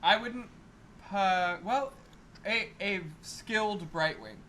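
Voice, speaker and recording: A man's voice: sits high, slow pace, sharp tone. A man co-hosting a casual gaming podcast with wry, teasing banter. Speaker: podcast co-host. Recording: noisy amateur podcast recording.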